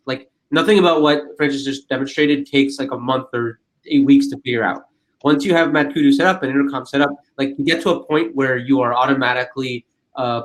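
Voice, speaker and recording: Male webinar host, rapid informal delivery, average pitch, emphatic and conversational. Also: rough recording.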